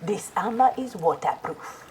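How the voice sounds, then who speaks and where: A woman with a Nigerian accent playing a proud, principled armoured ninja in a video game, speaking in measured, formal, defiant lines. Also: medium pitch, animated, darkish tone, slight background noise, natural voice. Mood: confident, firm. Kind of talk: video game fight dialogue.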